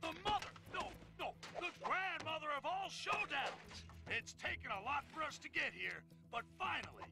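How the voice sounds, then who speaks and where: A man playing a blustering, excitable newsman who announces bombastically and interrupts himself, in a comic game cutscene. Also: very high-pitched, exaggerated voice. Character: blustering, excitable male newsman, cranky workaholic boss. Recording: clear music bed behind.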